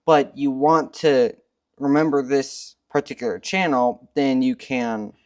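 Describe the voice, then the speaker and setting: A man with a mid-range voice gives a casual technical explanation. He is measured and matter-of-fact, speaking as a co-presenter walking through the details. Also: medium pitch, fairly even intonation, a little mellow.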